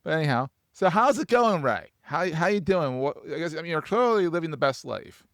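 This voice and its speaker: A man's voice: fairly high pitch, expressive, fairly quick, fairly bright tone, no echo, clean studio sound. A man hosting a podcast interview, chatting casually and jumping in with playful, enthusiastic remarks. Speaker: podcast host.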